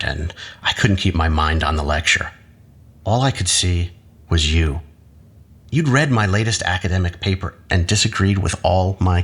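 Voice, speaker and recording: An actor playing a manipulative academic husband in an audio drama, measured, coaxing and patronizing. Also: male voice, sits low, fairly bright tone. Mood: reminiscing, lecturing. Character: manipulative husband, an academic doctor, calmly condescending and persuasive.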